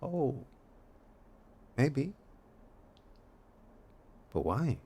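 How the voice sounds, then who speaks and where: A man's voice: mid-range voice, very animated, drawn-out words, dark tone, husky, exaggerated voice. An actor playing an evasive, withdrawn man in a strained relationship, speaking tersely and noncommittally in naturalistic audio drama style. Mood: guarded.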